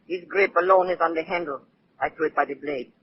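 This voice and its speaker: A woman's voice: low voice, a little fast, close to an everyday voice. An actress playing a ruthless older woman conspirator with formal, melodramatic speech, in old radio drama style. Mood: cold, scheming. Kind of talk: 1940s radio acting. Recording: muffled, hissy old radio recording.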